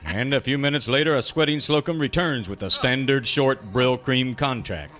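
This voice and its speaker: An actor playing a melodramatic old-time radio serial announcer, declaiming scripted narration with mock seriousness. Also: male voice, medium pitch, normal speed, fairly bright tone, close to an everyday voice. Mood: mock-serious.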